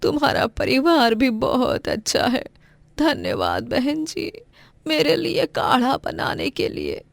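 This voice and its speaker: A woman's voice: high-pitched, huge pitch swings, takes it slowly, vocal fry, no echo, exaggerated voice. A woman voicing a kindly, grateful old village mother in a cartoon moral story, with an affectionate manner.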